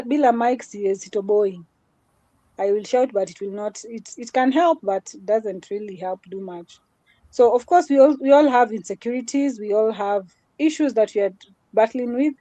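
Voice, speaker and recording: A woman's voice: a little high, moderate pace, mellow, no room sound. A woman with a small voice, giving an earnest motivational talk as a guest speaker in a live session. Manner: earnest, repetitive, mixes Swahili into English.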